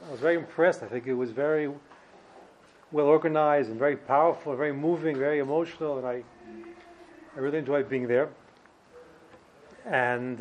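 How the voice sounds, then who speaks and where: A man in his fifties with a New York accent, giving an informal personal talk as a guest speaker in a halting, slightly uneasy way. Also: a little high, a little mellow, muffled recording. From New Jersey and Brooklyn, New York, United States. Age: 50s. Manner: hesitant, halting, slightly ill at ease. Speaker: guest speaker, former yeshiva student.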